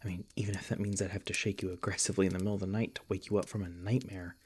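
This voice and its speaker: A man playing a protective, tender monster hunter in an ASMR roleplay, speaking in a gentle, halting, earnest way with a husky, breathy voice. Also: low-pitched, level tone, slight background noise, natural voice. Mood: tender, concerned. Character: protective, tender male monster hunter comforting his beloved. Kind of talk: ASMR roleplay, soft intimate acting.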